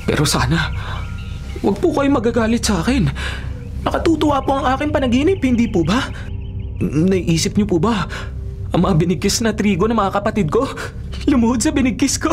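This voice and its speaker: A performer playing a naive, dreamy favored young son, earnest and expressive, in a Filipino biblical radio drama. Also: medium pitch, brisk, pauses often, faint music behind, close to an everyday voice. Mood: meek, eager.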